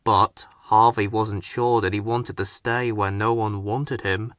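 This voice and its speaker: Slow-paced, bright-voiced male storybook narrator. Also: on the low side, steady tone, dry recording, clean studio sound. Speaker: storybook reader. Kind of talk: scripted children's story narration.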